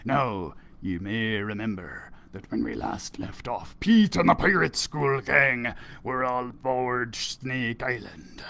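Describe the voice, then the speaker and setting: Gravelly man reading in pirate talk. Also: mid-range voice, a bit bright, slight background noise. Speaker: children's librarian reading aloud. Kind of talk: storytime read-aloud. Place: library video recording.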